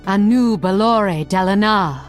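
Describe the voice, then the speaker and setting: An actress voicing a proud, sardonic blood elf rogue for a video game, with a haughty, cutting manner. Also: female voice, medium pitch, measured pace, faint music behind, close to an everyday voice. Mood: respectful. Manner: haughty, cutting, dry teasing. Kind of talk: video game hero voice lines.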